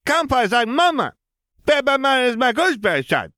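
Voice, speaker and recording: Squeaky, insistent toddler boy. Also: very animated, fairly quick, bright, forceful tone, no echo, clean studio sound, heavily acted. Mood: excited. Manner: insistent, garbled baby talk. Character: excited toddler boy with babyish mispronunciations.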